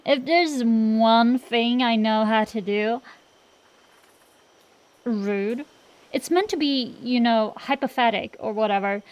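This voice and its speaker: A woman's voice: a little high, takes it slowly, pauses often, rough recording. A woman playing a cocky tsundere dragon-girl sorceress in an acted roleplay, bantering straight to the listener with sassy, slangy, defensive bluster. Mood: boastful.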